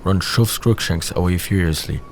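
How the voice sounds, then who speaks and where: A man's voice: low voice, moderate pace. A man reading scripted scene narration for an audio drama. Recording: faint background noise.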